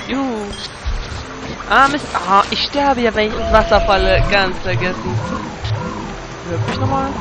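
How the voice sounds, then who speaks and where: A man's voice: high-pitched, rough recording. A man with a cold giving casual, exasperated let's play commentary. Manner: grumbling, exasperated. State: has a cold. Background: game music.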